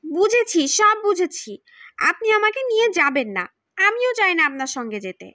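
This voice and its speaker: A woman's voice: very high-pitched, sing-song, moderate pace, bright, forceful tone, no echo, clean studio sound, voice pushed up, full character voice. A woman voicing a proud, hot-tempered teenage girl in an audiobook, blunt and defiant. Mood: indignant, defiant. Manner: blunt, indignant, challenging. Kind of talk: audiobook character acting.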